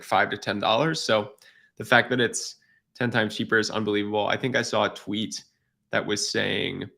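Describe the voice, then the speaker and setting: A man's voice: on the low side, creaky, sharp tone. A man co-hosting a casual livestream, upbeat and agreeable as he chats. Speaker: livestream co-host.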